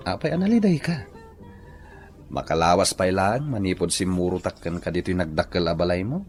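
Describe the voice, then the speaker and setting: A man acting an earnest, lovestruck suitor in a Filipino radio drama, with an Ilocano accent and an expressive, wooing manner. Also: low-pitched, normal speed, soft background music. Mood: coaxing, affectionate. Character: lovestruck, earnest adult suitor named Ricky.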